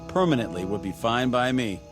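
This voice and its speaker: A man's voice: medium pitch, lively intonation, a little fast, over music, close to an everyday voice. An actor playing a brash, wisecracking space crewman in a science fiction audio drama, talking in a blunt, folksy, breezy way.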